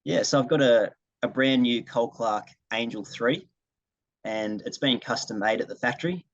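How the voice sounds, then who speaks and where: A man's voice: mid-range voice, moderate pace, dry recording, dull audio. A young Australian man, a singer-songwriter, answering interview questions in a casual way on a video call. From regional New South Wales, Australia. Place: video call, dry room.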